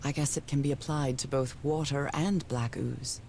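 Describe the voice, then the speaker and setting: A woman's voice: a bass voice, steady tone, normal speed, a little mellow, breathy edge, heavily acted. An actress playing a thoughtful, worried woman explorer musing aloud in a video game. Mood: pensive. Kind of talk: video game voice acting, reflective monologue.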